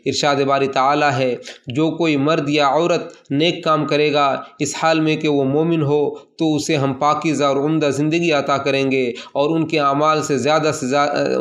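Formal male sermon reader, flat delivery. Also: a little high. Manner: steady, formal recitation with even cadence; addresses listeners as servants of God. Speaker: sermon translator. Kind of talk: read translation of a Friday sermon.

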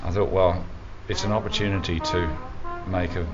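Man, club founder type, very low voice, rambling, lo-fi recording with music under it. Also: fairly even intonation. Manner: rambling, halting storyteller. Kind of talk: reminiscing interview. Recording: lo-fi with music behind.

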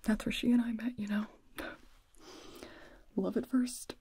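A woman voicing a cheerful, proud elf father with a folksy greeting, in a hushed ASMR roleplay close to the mic. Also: fairly high pitch, little pitch movement, measured pace, breathy edge, dry recording, full character voice. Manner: hearty whisper, proud and folksy.

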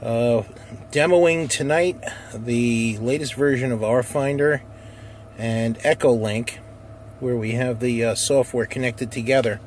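A man's voice: medium pitch, unhurried. A man giving a casual demonstration as an amateur radio operator, recorded inside a car. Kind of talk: casual demo narration and radio call-outs. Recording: noisy car interior, handheld camera. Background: vehicle noise.

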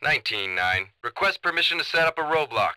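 Tense, excitable pursuit cop. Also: male voice, fairly high pitch, brisk, full character voice. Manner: clipped, tense. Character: pressed, excitable male pursuit officer. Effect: police radio. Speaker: police officer. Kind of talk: video game radio chatter. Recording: noisy police radio, clicks.

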